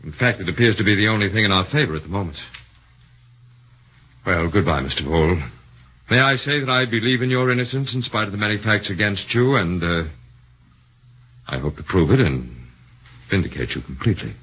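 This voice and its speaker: A man voicing a shrewd middle-aged defense lawyer who questions in a probing, measured way, in a 1940s radio drama on a muffled old broadcast. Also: fairly low pitch, animated, bright, forceful tone, husky, exaggerated voice.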